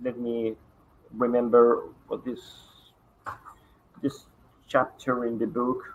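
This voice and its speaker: A man whose first language is Spanish, presenting a lecture at an online conference in English, halting, with many fillers and repeated words. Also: medium pitch, level tone, phone-quality sound. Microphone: online conference call.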